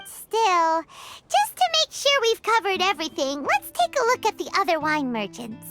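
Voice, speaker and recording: A woman voicing a small, eager, childlike fairy companion in a video game, with a squeaky, enthusiastic delivery. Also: sing-song, normal speed, bright, forceful tone, exaggerated voice. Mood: businesslike, upbeat. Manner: speaks of herself in third person, enthusiastic. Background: music.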